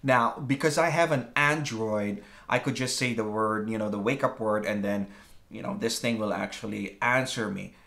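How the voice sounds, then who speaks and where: A man's voice: on the low side, normal speed, gravelly, fairly bright tone. Upbeat, chatty man presenting a how-to video. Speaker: host of a smart home tech channel.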